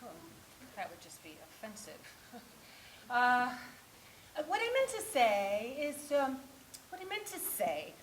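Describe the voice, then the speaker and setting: An actress playing an anxious, clumsy, lovelorn woman in a theatrical monologue, halting and scolding herself with dramatic swings. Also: sits high, fairly bright tone, exaggerated voice. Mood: awkward, distressed. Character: anxious, clumsy, lovelorn mistress rehearsing a dreaded phone call. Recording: echoey room with faint noise.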